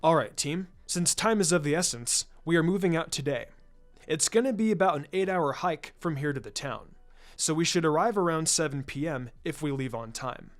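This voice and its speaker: A man voicing a calm, laid-back ninja teacher in a story reading, measured and matter-of-fact. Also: on the high side, faint music behind, close to an everyday voice. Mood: instructive. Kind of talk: storybook-style character reading.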